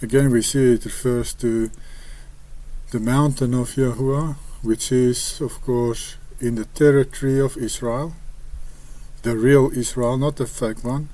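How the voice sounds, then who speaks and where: Slow, hesitant Afrikaans-accented man. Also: mid-range voice, steady tone, mellow. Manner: hesitant, halting with frequent pauses. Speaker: online Bible commentator. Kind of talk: reading scripture and commenting.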